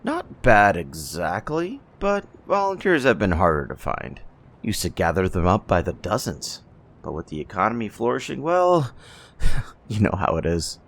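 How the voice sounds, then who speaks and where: A man playing a deferential, businesslike trader in human blood donors in an ASMR roleplay, gravelly, with a folksy salesman's patter. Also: lowish voice, wildly swooping pitch, moderate pace, full character voice. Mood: salesmanlike. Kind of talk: ASMR audio roleplay acting.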